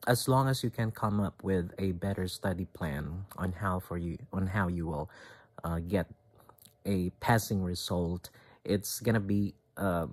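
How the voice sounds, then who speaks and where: A man in his thirties from the Philippines, with a Filipino accent and English as his second language, talking live to his viewers in a halting way, with many fillers and restarts. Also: low voice. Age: 30s. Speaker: vlogger. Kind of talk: casual talk to camera, done live.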